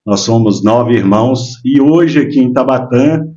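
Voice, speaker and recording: A man in his sixties from Minas Gerais, a guest telling his life story in relaxed, casual chat with frequent hesitations. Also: medium pitch, moderate pace, muffled recording. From Minas Gerais, Brazil. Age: 60s. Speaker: guest, local businessman.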